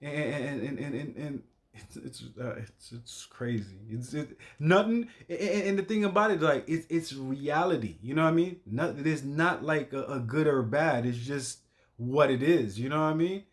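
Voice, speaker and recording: A man's voice: on the high side, moderate pace. Slangy man stammering through reaction commentary. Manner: repetitive, stammering restarts, heavy slang and swearing. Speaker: reaction video host.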